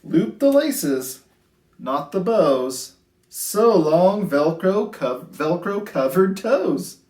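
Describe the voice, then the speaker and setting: A man hosting a children's storytime, reading picture books aloud in an expressive, sing-song way with drawn-out phrases. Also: high-pitched. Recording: roomy, echoey indoor sound.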